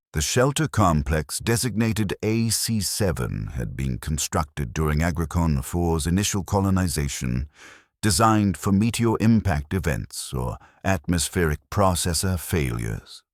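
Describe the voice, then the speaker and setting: An extremely deep-voiced man narrates a grim science fiction tale in the first person. His delivery is slow and solemn, with measured, clinical phrasing. The sound is dry and close, with no room around it. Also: dry recording. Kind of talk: scripted first-person sci-fi war story narration.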